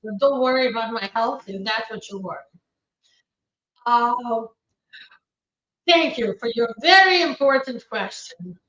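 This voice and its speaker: A woman from Ukraine hosts a live immigration Q&A, reading out viewer questions and answering them with quick, practical replies. Her voice sits a little high. The stream audio is dull and distant, with a roomy echo around her. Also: animated, a bit bright. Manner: brisk, matter-of-fact answers with frequent fillers. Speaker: immigration attorney hosting a live Q&A.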